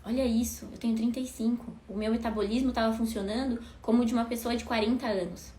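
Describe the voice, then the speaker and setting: Woman with a high voice in an echoey room. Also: steady tone, fast, warm tone. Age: 30s. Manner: conversational, asks rhetorical questions. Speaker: host. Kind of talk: casual talk to viewers. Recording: echoey room with faint noise.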